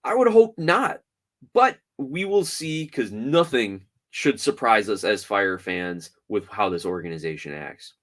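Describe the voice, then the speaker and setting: A man hosting a soccer podcast solo, talking through results and rumors in an animated, opinionated way. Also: unhurried. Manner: animated, opinionated, swings from loud to low.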